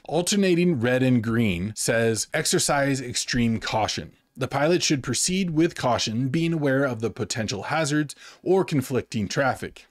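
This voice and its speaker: A man's voice: medium pitch, no echo. Male American instructor, 40s, methodical explainer to camera, close dry studio sound. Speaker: flight instructor and presenter. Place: small dim home office studio. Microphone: desk microphone close in front.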